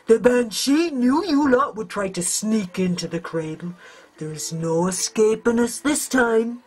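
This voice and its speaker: Woman doing a taunting, high-pitched skeletal henchman. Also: expressive, a little slow, husky. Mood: gloating taunt. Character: gloating skeletal henchman of the banshee. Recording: music under the voice.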